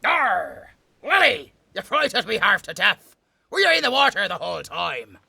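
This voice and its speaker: A man playing a gruff, theatrical gentleman pirate captain with a gravelly, raspy voice in an audio roleplay. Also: high voice, heavily acted. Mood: startled. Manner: theatrical pirate talk, slow and expressive.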